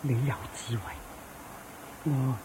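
A woman's voice: very deep voice, fairly even intonation, takes it slowly, a little hiss or hum, low-quality recording. A woman playing a proud, boastful rich landlord in a Cantonese radio drama. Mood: humbled. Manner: boastful, shouting at the sky.

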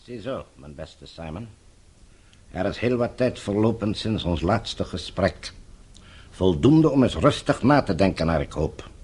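An actor playing a falsely courteous, menacing captor and regime agent in a Dutch radio drama, speaking in measured, formal, condescending phrases. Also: male voice, low voice, animated, a little mellow, breathy edge, full character voice.

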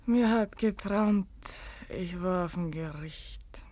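A woman's voice: lowish voice, slow, mellow. An actress playing a desperate, shamed and exhausted young village woman in a German radio play, speaking in agitated, evasive fragments. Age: young adult. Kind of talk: German radio play, naturalistic stage acting.

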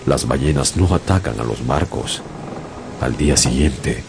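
A man narrating a whaling voyage as a sailor in a radio drama, with a deep, gravelly voice. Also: a little slow, full character voice. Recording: radio drama with music bed.

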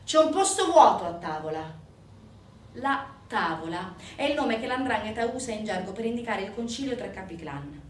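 An actress tells a story as a theatrical monologue. Her pitch moves widely and she pauses for emphasis. She sounds distant in an echoing room, with some noise around her. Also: animated. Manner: dramatic, emphatic, with deliberate pauses. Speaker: stage actress, solo narrator. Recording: roomy, distant theatre recording, background noise.

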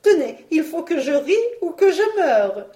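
Fragile storybook girl , voiced by a woman: apologetic, easily distressed, raised pitch, roomy sound. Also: very animated, a little slow, mellow. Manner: pleading, exclaiming. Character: frightened, apologetic girl in despair, named Marguerite.

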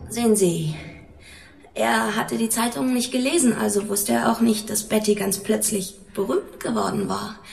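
Radio drama: scared young female runaway, high voice, evasive and pleading under questioning, echoing room. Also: vocal fry, darkish tone. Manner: halting, pleading, defensive. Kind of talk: German radio drama acting. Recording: roomy, echoing studio interrogation scene.

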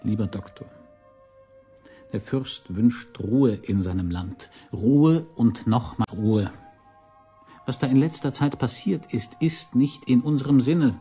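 A mellow-voiced, patronising court official. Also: male voice, mid-range voice, slow, husky, faint music behind. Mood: stern, patronising. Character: prince's male envoy, cool, patronising, wary official. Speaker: actor in a radio play. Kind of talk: German radio drama acting.

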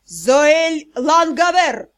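A woman's voice: a little high, expressive, slow pace. A woman voicing a stern vampire father, head of a noble house, in an audiobook. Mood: angry.

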